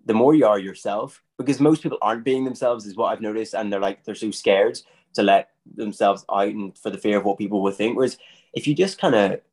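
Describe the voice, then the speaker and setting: Chatty man, lowish voice. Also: slightly rough. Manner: animated, chatty, laughs often. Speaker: magician. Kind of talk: casual podcast chat.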